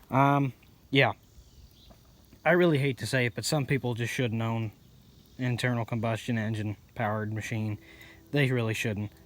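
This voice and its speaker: Sniffly man rambling about repairs. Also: mid-range voice. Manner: rambling, blunt, self-correcting. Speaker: hobby mechanic vlogger. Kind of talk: casual narration over repair footage.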